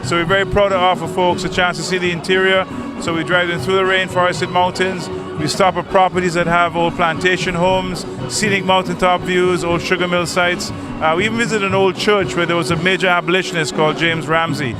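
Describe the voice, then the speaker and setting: A man's voice: sits high, flat delivery, fairly quick, over music. A man giving unscripted interview remarks as a tour operator. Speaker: tour operator, award winner.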